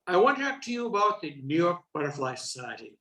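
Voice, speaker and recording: A woman's voice: lowish voice, very animated, fast talker, a bit bright. A woman playing an earnest, patriotic professor with a mock-solemn, dramatic delivery in a comic radio play.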